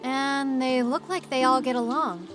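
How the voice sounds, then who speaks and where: A woman's voice: high voice, steady tone, measured pace, music in the background. A woman acting a level-headed, wry female academic in an audio drama, matter-of-fact in manner. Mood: analytical.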